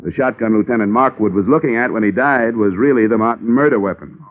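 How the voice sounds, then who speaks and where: An actor playing a wry, self-assured American insurance investigator in a 1950s radio detective drama, with clipped deadpan delivery. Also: male voice, mid-range voice, fast talker, lightly acted. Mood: confident, matter-of-fact. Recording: tinny old radio recording with music.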